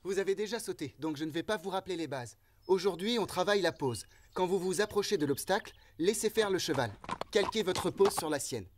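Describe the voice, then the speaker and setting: A woman voicing a caring riding coach in a French cartoon dub, speaking in a clear, instructing way. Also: on the low side, expressive, fairly quick, background noise, lightly acted.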